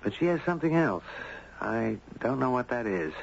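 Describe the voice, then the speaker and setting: A man's voice: mid-range voice, big pitch swings, normal speed, creaky. An actor playing an earnest, inquisitive man in a 1970s radio drama. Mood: smitten. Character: earnest, inquisitive man, entranced by a strange girl. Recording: muffled, noisy 1970s radio recording.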